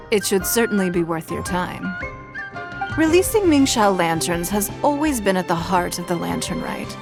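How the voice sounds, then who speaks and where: Brief: woman voicing a poised, authoritative game noblewoman, calm and formal. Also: medium pitch, natural voice. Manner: composed, measured and formal. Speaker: city leader. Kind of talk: video game voice acting.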